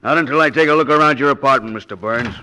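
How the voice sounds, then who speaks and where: Actor as bright-voiced, protective radio cop. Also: male voice, medium pitch, fast, close to an everyday voice. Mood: reassuring, firm. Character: protective, confident male police officer, plainspoken. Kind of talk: old-time radio crime drama acting. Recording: noisy vintage radio recording.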